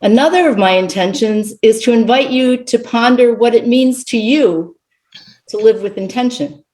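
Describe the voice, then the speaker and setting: A woman's voice: on the high side, darkish tone. Reflective middle-aged woman giving a sermon. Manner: measured, contemplative phrasing. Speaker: guest speaker at a church service. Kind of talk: reflective sermon with readings, spoken to a congregation.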